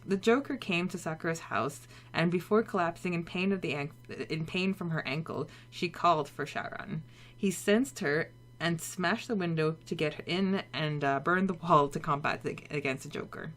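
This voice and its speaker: Woman, low-pitched voice, podcast co-host, recap and chat delivery. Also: moderate pace, fairly bright tone. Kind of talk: scripted chapter recap between casual chat.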